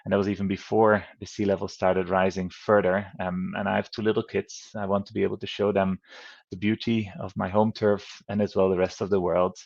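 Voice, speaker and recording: A man's voice: sits low, little pitch movement, fairly quick, creaky, darkish tone, lo-fi sound. A man in his thirties from the Netherlands, moderating an online event with friendly, rambling talk full of fillers.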